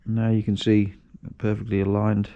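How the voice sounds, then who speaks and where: A man with a lowish voice talks through hands-on work in a big indoor garage workshop. He thinks aloud as he goes, often restarting and correcting himself. The sound comes from a camera strapped to his chest. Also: monotone, moderate pace, vocal fry, dark tone, highs cut off. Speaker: home mechanic vlogger. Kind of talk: casual workshop narration. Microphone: chest-mounted action camera.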